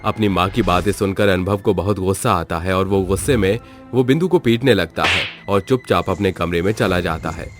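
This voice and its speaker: A man's voice: deep voice, moderate pace, music in the background. A man narrating a scripted moral story as its storyteller.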